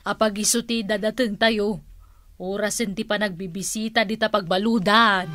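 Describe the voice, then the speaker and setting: A woman's voice: medium pitch, steady tone, moderate pace, loud music behind the voice, natural voice. A woman playing a smitten, hot-tempered auntie in an Ilocano radio comedy drama, exclamatory and theatrical. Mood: composed.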